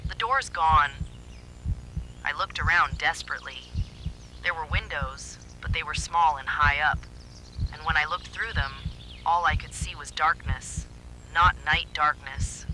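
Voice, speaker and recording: A man narrates a horror story in the first person with dramatic suspense. His voice sits a little high and is very bright and sharp. A faint hum of noise runs underneath. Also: fairly even intonation, a little slow, low-quality recording.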